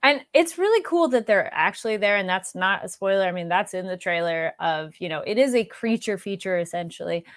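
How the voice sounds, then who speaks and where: A woman's voice: medium pitch, lively intonation, fairly quick, few pauses, no echo. Woman host with a dry, close sound. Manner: casual, chatty questions. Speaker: interview host. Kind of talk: video call interview. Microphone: video call.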